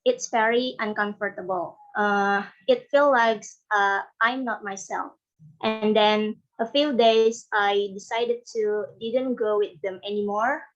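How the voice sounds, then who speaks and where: A woman speaks slowly over a dull, lo-fi video call. She gives a class speech in a hesitant way and pauses often with "uh". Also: medium pitch, steady tone. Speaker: student speaker. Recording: dull lo-fi online call audio.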